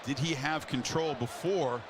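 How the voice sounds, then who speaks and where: A man's voice: a little high, measured pace. A man doing American football play-by-play as a broadcast announcer. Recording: game broadcast with clear stadium noise. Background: stadium noise.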